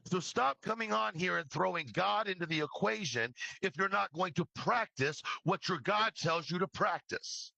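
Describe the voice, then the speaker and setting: A man's voice: sits high, normal speed, vocal fry, few pauses, bright, forceful tone, no echo, poor audio. A man who creates true crime livestreams, delivering an impassioned, scolding rant with free swearing and emphatic repeated phrases. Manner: swears freely. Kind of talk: impassioned rant addressed to an absent person.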